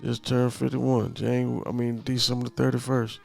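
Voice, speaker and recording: A man's voice: medium pitch, little pitch movement, normal speed, gravelly, darkish tone, faint music behind. A man in his fifties with a Houston accent, co-hosting a casual, profane chat show. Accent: Houston Fifth Ward. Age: 50s. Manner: loose, profane storytelling. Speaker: podcast co-host.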